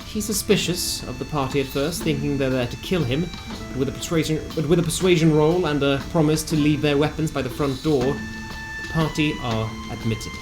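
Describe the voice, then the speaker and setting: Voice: medium pitch, moderate pace, few pauses. A narrator reading a scripted recap of a tabletop fantasy game in a theatrical storytelling manner with wry asides. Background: music.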